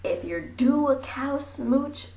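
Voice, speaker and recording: Very animated woman reading slowly, echoey room. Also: high voice. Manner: theatrical, dropping into breathy whispers and calling out. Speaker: amateur storybook reader. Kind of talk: animated rhyming picture-book read-aloud. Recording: roomy echo with faint background noise.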